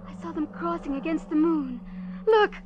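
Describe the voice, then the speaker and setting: An actress playing a naive, pampered young heiress in an old American radio drama, airy and blithe. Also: female voice, extremely high pitch, animated, normal speed. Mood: blithe excitement. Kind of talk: 1940s-50s American radio drama acting. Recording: muffled, noisy vintage radio recording.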